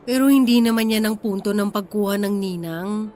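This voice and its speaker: A woman's voice: medium pitch, moderate pace, mellow, soft background music, close to an everyday voice. A woman playing a modest, practical young Filipina wife and mother in a radio drama, hesitant and questioning. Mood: concerned, pleading.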